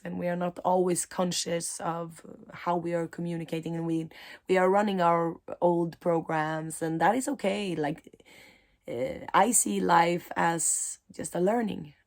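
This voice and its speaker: Slow, unhurried woman speaking reflectively. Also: on the low side. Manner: slow, thoughtful, pausing often with soft fillers. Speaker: mindset coach and spiritual teacher. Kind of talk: reflective spiritual talk to camera.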